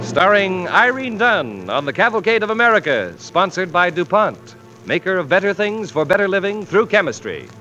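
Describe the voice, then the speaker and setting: Male 1940s radio announcer, polished and theatrical, high voice with sweeping intonation on a lo-fi recording. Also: sing-song, normal speed, loud music behind the voice.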